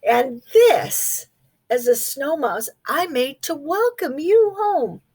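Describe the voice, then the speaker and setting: A woman voicing a gentle, reassuring grown-up mouse in a children's storybook, explaining things soothingly. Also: high-pitched, lively intonation, slow pace.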